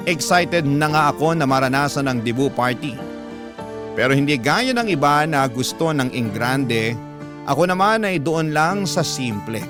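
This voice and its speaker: A man's voice: mid-range voice, animated, moderate pace. A man with a Filipino accent narrating as a radio storyteller, in an emotional, scripted classic radio style. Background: music.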